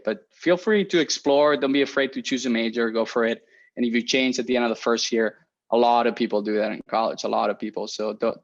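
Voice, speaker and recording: Young man with dull lo-fi sound. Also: medium pitch. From Spain. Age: 20s. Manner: friendly, rambling with frequent restarts and fillers. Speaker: research engineer giving a career talk. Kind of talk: casual talk to students.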